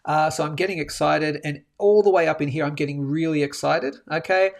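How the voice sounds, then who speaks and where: Excitable man with a gravelly voice. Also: on the high side, a little fast. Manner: animated, keeps tagging phrases with "okay?". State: a bit sniffly. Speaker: host of a daily live precious-metals show. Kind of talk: live chart analysis, casual and excitable. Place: indoors, a different room than usual.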